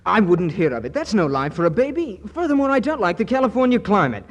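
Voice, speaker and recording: An actor playing a suave, formal English gentleman with a British accent in a 1940s radio comedy. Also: sits high, big pitch swings, fast. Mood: pompous. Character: suave, proper English actor courting a woman. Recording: faint noise, old 1940s radio broadcast.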